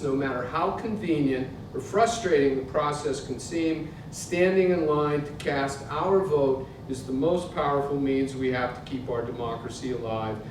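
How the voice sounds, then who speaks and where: Older American man in a very echoey room. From Pittsburgh, United States. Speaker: author reading from his book. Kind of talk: literary reading to a live audience. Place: indoor museum event space. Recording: very echoey hall, distant mic, noisy rumble.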